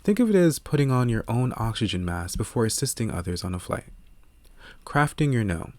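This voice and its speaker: A man reading scripted audiobook narration in a measured, earnest way, close to the microphone. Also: medium pitch, very animated, a little mellow, dry recording.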